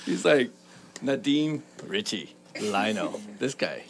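A man's voice: medium pitch, huge pitch swings, drawn-out words, creaky. A man co-hosting a casual podcast, teasing the others and laughing often. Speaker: podcast co-host. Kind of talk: casual group chat. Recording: loud clicks in background.

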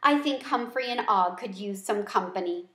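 A woman's voice: mid-range voice, animated, normal speed, a bit bright, close to an everyday voice. A woman reading the lines of a kindly female schoolteacher in a storybook, conversational and helpful. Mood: friendly. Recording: roomy home recording.

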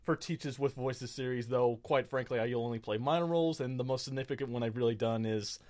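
A man's voice: medium pitch, fairly quick, darkish tone. A man hosting a gaming show in unscripted casual chat, rambling and stammering in a self-deprecating way.

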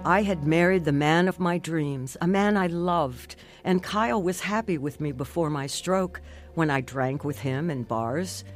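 An actress playing an earnest older woman narrating her life story in a measured radio drama style. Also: deep voice, faint music behind. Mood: earnest, reflective.

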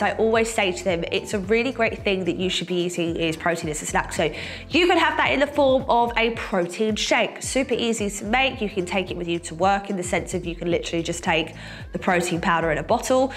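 A young woman with a slight cold and a nasal edge, chatting casually to camera as a fitness coach, with lots of fillers. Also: medium pitch. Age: young adult. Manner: chatty, many fillers, lively gestures. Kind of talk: casual sit-down chat to camera. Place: bright room indoors, seated.

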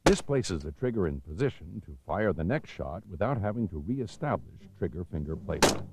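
An American man reading scripted instructional narration for a training film, measured, authoritative and clearly enunciated. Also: low voice, sing-song, noisy background. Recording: dull, lo-fi 1990s videotape soundtrack.

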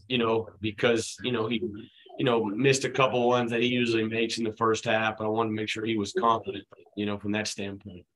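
Man in his 40s, low voice, basketball coach, postgame press conference, loose chatty answers, table mic. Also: fairly quick, low-quality recording. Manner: relaxed, conversational, many fillers. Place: press room at a team table, his children beside him. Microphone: table microphone.

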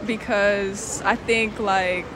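A woman's voice: mid-range voice, fairly even intonation, very slow, noisy background. A woman answering a street interview, hesitant and questioning back.

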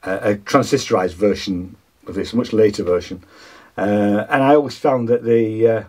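A man in his sixties with a husky voice gives an informal hands-on demonstration, explaining hesitantly as he thinks aloud. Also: on the low side, moderate pace, darkish tone. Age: 60s. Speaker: vlogger demonstrating vintage audio gear. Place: small home studio with foam panels, indoors.

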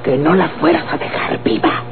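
A woman's voice: sits low, moderate pace. A woman playing a scheming, domineering young Mexican villainess in a radionovela, scolding and commanding with dramatic delivery. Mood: scolding, furious. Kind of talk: Mexican golden-age radionovela acting. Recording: tinny old radio with clear hum.

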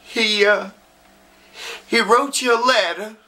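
A man playing a simple, tender, grieving Southern husband speaking haltingly at his wife's grave in a dramatic monologue. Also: high-pitched, sharp tone, voice pushed up, heavily acted. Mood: tender, proud.

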